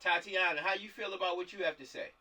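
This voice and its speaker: A man's voice: sits high, steady tone, fast, pushed and bright. A man casually giving direction to actors on a group video call, often repeating and restarting his phrases. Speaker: film director leading a table read. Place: online video call, distant microphone. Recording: distant, uneven video call audio.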